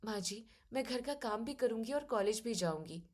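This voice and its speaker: A young, educated daughter-in-law in a family drama story, played by a woman. She speaks softly and politely, yet reasons firmly and with quiet determination. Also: a little high, expressive, normal speed. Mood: polite, determined. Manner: polite but firm and reasoning.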